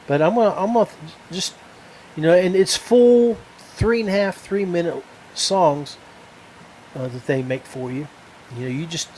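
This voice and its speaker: An American man with a slightly high voice hosts a casual solo podcast. He rambles and halts, searching for words. There is some background noise around him. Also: rough recording. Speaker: podcast host.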